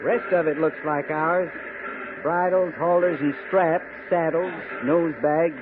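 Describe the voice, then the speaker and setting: A man's voice: fairly high pitch, steady tone, slow. A man playing a folksy, dutiful cavalry sergeant in a 1950s radio western, with a wry, rustic manner. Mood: easygoing. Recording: noisy, tinny 1950s radio broadcast.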